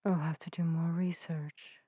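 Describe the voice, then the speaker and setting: A woman playing a gentle, earnest witch healer in an ASMR audio roleplay, soft-spoken and soothing with old-fashioned, formal phrasing. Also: low-pitched, level tone, a little slow, dry recording, lo-fi sound. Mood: apologetic, soothing. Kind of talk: narrative ASMR roleplay addressed to the listener. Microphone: close microphone in a dry space.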